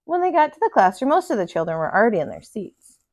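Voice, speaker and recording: A woman in her thirties, a kindergarten teacher, reading a children's picture book aloud at home with expressive voices. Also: mid-range voice, quick pace, dry recording. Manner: expressive, lively character voices. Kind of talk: children's storybook read-aloud. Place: living room at home.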